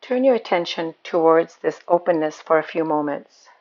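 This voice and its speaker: A woman guiding a meditation as a yoga nidra teacher, speaking in a calm, soothing, unhurried manner. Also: low-pitched, lo-fi sound. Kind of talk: guided meditation.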